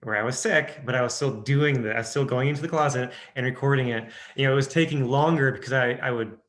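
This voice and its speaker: A man's voice: mid-range voice, quick pace, fairly bright tone. A man with a Midwestern American accent, an audiobook narrator chatting in an interview, hesitant with many restarts and fillers. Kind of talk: casual interview chat.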